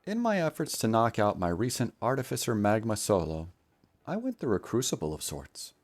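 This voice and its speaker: A man's voice: on the low side, huge pitch swings, moderate pace. A man delivering scripted mock-epic narration about a video game in a grandiose, theatrical, mock-solemn manner. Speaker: video storyteller and gamer.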